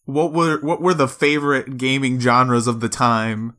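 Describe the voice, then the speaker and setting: A man's voice: medium pitch, level tone, a little slow, a bit bright. A man hosting a gaming podcast, chatting in a casual, informal and explanatory way. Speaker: podcast host. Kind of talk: casual podcast chat about retro video games.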